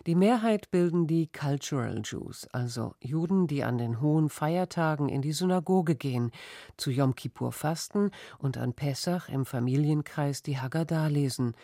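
Brief: documentary narrator, mellow tone, dry close sound, measured delivery. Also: mid-range voice, no echo. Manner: even, measured reading.

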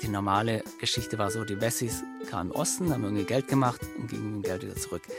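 A middle-aged man with a Swabian accent, a company founder telling his story in an interview, lively and anecdotal. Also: fairly low pitch, quick pace, gravelly, over loud music.

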